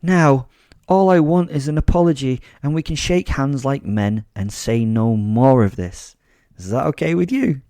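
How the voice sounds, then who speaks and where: A man's voice: lowish voice, animated, moderate pace, darkish tone, no room sound, studio quality. A man voicing a sadistic vigilante who gloats and monologues in a pompous, mocking, menacing way, as in an audio story. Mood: commanding menace.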